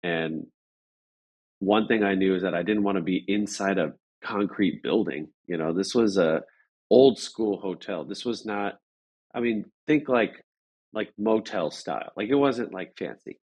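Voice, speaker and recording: A man speaking as an interview guest, telling a story casually in a halting, rambling way with repeated phrases. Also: low voice, darkish tone, husky, highs cut off.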